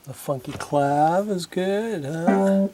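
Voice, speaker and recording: A man talking casually through his repair work at a home workbench, thinking aloud and halting with fillers, recorded on a camera microphone. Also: high-pitched, loud music behind the voice. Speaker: hobbyist synth repairer. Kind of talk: casual narration while working. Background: synthesizer being played.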